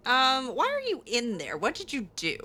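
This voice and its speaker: Female gamer, improvised roleplay, lively intonation, bright tone, hesitant, casual, reactive. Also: high-pitched, normal speed. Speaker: gamer playing in character. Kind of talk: improvised casual roleplay commentary over a game.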